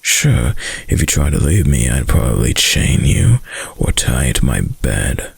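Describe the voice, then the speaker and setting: An extremely low, breathy wolf-boy boyfriend, played by a man, murmuring close to the mic in an intimate ASMR roleplay. He is dominant, doting and possessive, drawing his words out slowly with a heavy gravelly fry. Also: sing-song, no room sound, full character voice. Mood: possessive, menacing. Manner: slow, murmured, cooing and possessive. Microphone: close, dry microphone.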